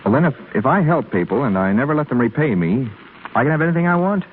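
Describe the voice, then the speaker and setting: A man's voice: medium pitch, big pitch swings, fast talker, close to an everyday voice. Guy playing impulsive rich young guy, voice jumps all over, earnest, scratchy old radio drama. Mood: hesitant, wondering. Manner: hesitant, stammering, urgent. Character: impulsive, earnest, wealthy young man in love. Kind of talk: 1930s radio drama acting. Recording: muffled scratchy 1930s radio broadcast.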